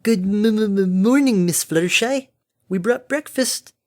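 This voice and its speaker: A scatterbrained pony mother, put on by a woman narrator. Her voice is high and chirpy, and she stammers on her words. She sounds doting and simple in a storybook way. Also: slow, darkish tone, no room sound, studio quality, natural voice. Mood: cheerful. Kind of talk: animated audiobook character acting.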